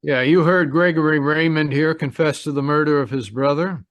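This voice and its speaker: A man's voice: on the high side, moderate pace, dry recording, lightly acted. A man acting a stern, formal homicide lieutenant in an old-time radio drama. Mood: matter-of-fact, firm. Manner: formal, authoritative. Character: stern homicide lieutenant, masterminding a trick confession.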